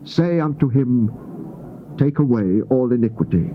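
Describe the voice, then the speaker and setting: A man reads scripture in a solemn, dramatic style, declaiming each phrase with weight and deliberate pauses. His voice sits at a medium pitch. The recording is dull, like an old cassette, with faint noise underneath. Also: lively intonation. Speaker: scripture narrator. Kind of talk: dramatized Bible reading, solemn and theatrical. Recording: dull, noisy old cassette tape transfer.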